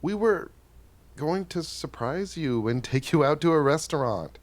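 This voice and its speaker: A man acting a gentle, bookish middle-aged father in a stage play, explaining things patiently and tenderly, sometimes haltingly. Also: on the high side, lively intonation. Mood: fond, awkward.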